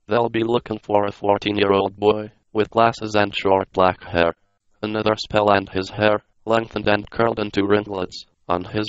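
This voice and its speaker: A synthetic male computer voice reading a story as narrator in a flat, mechanical, non-dramatic way. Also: on the low side, clean tone, no echo, dull audio.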